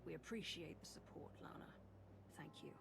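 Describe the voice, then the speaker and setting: An actress playing a firm, decisive military commander in a video game cutscene. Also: female voice, low-pitched, moderate pace, gravelly, background noise. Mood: grateful. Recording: music behind the voice.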